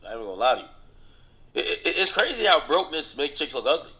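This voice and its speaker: Bright-voiced man, blunt gossip commentator. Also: a little high, very animated, fast. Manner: blunt, slangy, repeats phrases for emphasis. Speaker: online commentator and host. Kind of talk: casual commentary on celebrity gossip.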